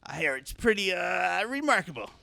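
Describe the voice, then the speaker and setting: A man's voice: sits high, big pitch swings, moderate pace, creaky, bright, forceful tone, heavily acted. A man in a radio comedy playing a bumbling, absent-minded old dentist, hesitant and muttering as he repeats himself. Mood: rambling, muddled. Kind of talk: comic radio-play acting.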